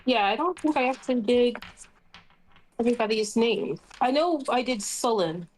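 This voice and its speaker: A woman with a voice a bit higher than average talks casually during an online tabletop game. She is chatty and playful, speaking as one of the players. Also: animated, normal speed.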